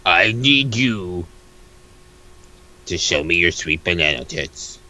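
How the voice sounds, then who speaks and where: A man's voice: low-pitched, animated, slow, bright, forceful tone, full character voice, low-quality recording. A man voicing a pushy, lewd woman protest leader in a comic reading, blunt and insistent. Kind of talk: comic dramatic reading of a webcomic.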